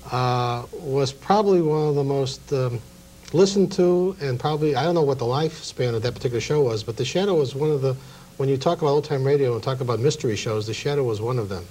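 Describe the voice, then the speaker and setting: A middle-aged American man hosting a TV interview in a conversational, halting and deliberate way. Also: mid-range voice, mellow, a little hiss or hum, rough recording. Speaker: talk show host. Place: small TV studio.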